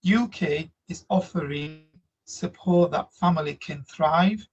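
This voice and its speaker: A man in his thirties from Slovakia with a Slovak accent, answering interview questions earnestly in halting English. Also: on the high side, breathy edge. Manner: earnest, reflective, halting non-native grammar. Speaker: guest, migrant workers support officer. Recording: dull lo-fi audio.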